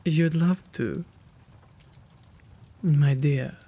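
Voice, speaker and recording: A man voicing a kind, courteous gentleman vampire in a soothing ASMR roleplay, gentle and tender, often slipping into a whisper. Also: fairly high pitch, drawn-out words, gravelly, full character voice. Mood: tender, inviting.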